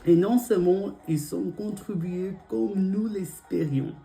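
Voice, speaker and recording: A young man who preaches as a pastor in French, his second language, with an English-speaking accent, speaking haltingly with many fillers and repeated invocations during prayer and scripture reading. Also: high-pitched, creaky, mellow, soft background music. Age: young adult. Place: church.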